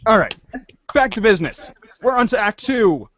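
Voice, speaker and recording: A woman's voice: medium pitch, lively intonation, normal speed, a bit bright, a little hiss or hum. A woman hosting and reading in a fan readers' theater, moving between acted reading and casual asides. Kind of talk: read-along acting with casual asides. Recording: dull lo-fi audio.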